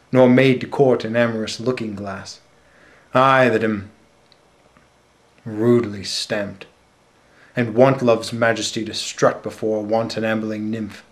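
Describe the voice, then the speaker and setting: A man playing a bitter, scheming, deformed royal villain in a deliberate Shakespearean stage monologue. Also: lowish voice, close to an everyday voice.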